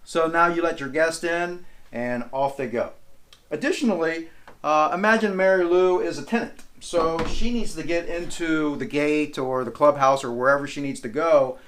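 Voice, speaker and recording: A man in his forties with a slightly high voice walks through a product demonstration. He explains things step by step, in plain, informal language. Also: moderate pace, a bit bright. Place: small indoor office room, standing behind a demo table.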